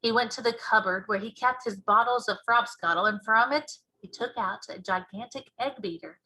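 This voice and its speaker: A woman reads a children's story aloud in a teacher's narration. Her voice sits fairly high, bright and forceful, with a husky grain. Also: normal speed, rough recording.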